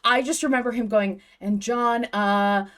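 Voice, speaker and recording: A woman's voice: fairly high pitch, steady tone, a little slow, crowd noise. Emphatic woman hosting a fan podcast. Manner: emphatic, gushing fan enthusiasm, swearing. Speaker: podcast host. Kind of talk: casual fan discussion podcast.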